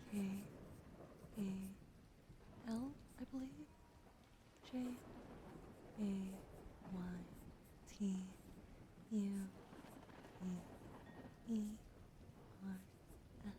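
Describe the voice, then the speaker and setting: Whispering woman, coughy from allergies, gentle and apologetic, middling voice with clear background noise. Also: mid-range voice. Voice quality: soft whispering. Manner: apologetic, thanks followers by name. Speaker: ASMR streamer. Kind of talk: ASMR whisper stream. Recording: noisy background.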